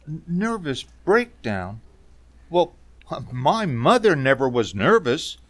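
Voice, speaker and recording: An actor playing a distraught, haunted male traveler in radio theater, speaking haltingly. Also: fairly high pitch, very animated, dry recording. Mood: shocked disbelief. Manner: halting, stammering.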